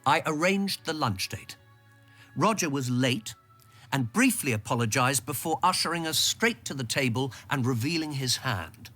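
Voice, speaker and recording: An actor playing an observant British civil servant, narrating his memories in a radio drama in a measured storytelling way. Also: medium pitch, expressive, pushed and bright, faint music behind. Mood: reflective, wry.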